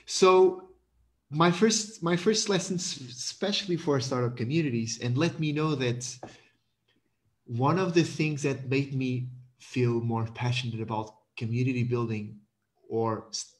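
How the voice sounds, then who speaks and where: Guest on a call, thirties, keen, halting, repeats words, mid pitch. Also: male voice. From Portugal. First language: Portuguese. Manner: enthusiastic, repeats words, many fillers and restarts. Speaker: guest, community manager. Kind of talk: video-call talk.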